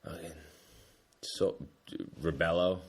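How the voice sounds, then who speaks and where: A man's voice: low voice, steady tone, slow pace. A man in his thirties chatting casually on a podcast, rambling with lots of "dude" and "like". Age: 30s. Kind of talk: casual chat.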